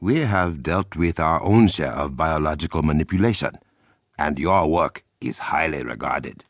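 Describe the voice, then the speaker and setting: An actor playing a dignified Wakandan warrior king in a video game, with an African accent, a gravelly husky voice and formal, measured, dryly witty phrasing. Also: extremely low pitch, expressive, no echo, clean studio sound, exaggerated voice. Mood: courteous, probing. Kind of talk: video game character dialogue.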